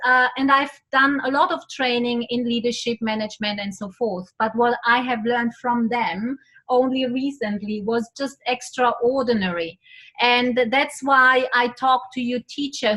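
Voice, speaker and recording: Middle-aged woman talking to a class in english, high bright voice, takes her time. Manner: slow and coaxing, prompting the class to answer. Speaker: event host. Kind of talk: addressing a class of students.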